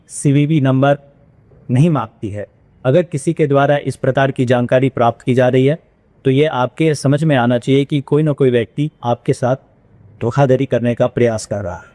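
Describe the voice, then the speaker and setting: Mellow-voiced police officer addressing the public. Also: male voice, mid-range voice, normal speed. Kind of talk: formal public awareness address.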